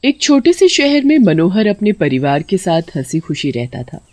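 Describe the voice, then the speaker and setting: A woman's voice: on the low side, sing-song, normal speed, darkish tone. A woman reading a scripted story as narrator.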